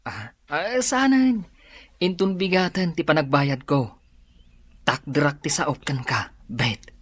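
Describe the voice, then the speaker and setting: A woman's voice: low voice, expressive, takes it slowly, slightly rough, exaggerated voice. A woman with an Ilocano accent playing a shamefaced, nervous debtor who stammers and whines in a radio drama. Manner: hesitant, stammering, whining. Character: shamefaced, nervous debtor, grown child hiding from creditors.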